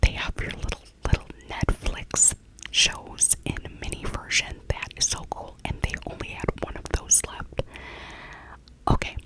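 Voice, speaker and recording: A middle-aged woman whispering a breathy, rambling ASMR voiceover, softly repeating her phrases. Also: a little slow, rough recording. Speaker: ASMR creator.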